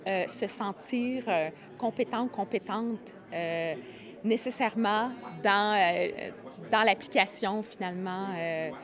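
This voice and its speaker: Halting woman, crowd chatter behind. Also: medium pitch. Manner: filler-heavy, halting. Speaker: interviewee. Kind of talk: explanatory testimony.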